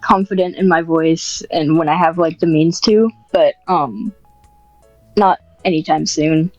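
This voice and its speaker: Slow, hesitant woman on muffled audio. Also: low-pitched, fairly even intonation, a little mellow, no room sound, soft background music. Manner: hesitant, informal, modest. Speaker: podcast guest, script writer. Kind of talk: casual interview chat.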